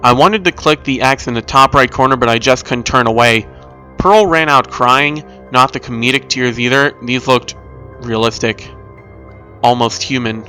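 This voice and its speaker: Male narrator, bright forceful voice, scripted first-person horror tale, average pitch, music behind. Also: normal speed.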